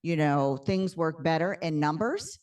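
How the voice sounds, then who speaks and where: A woman hosting a podcast interview, speaking earnestly with frequent "um" pauses. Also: low voice, sing-song, darkish tone, far from the mic. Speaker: podcast host. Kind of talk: conversational interview.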